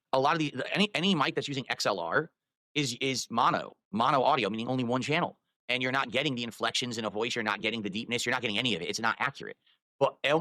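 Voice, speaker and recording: A man's voice: medium pitch, bright, forceful tone, no echo. A man live-streaming, rambling while he fiddles with his microphone and audio settings.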